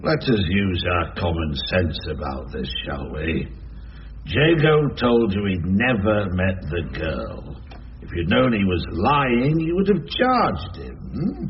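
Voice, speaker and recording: Patronising judge, low-voiced and very slow, lo-fi radio courtroom drama. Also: male voice, huge pitch swings, full character voice. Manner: ponderous, patronising. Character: condescending, self-satisfied male English judge. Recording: dull lo-fi radio recording, noisy.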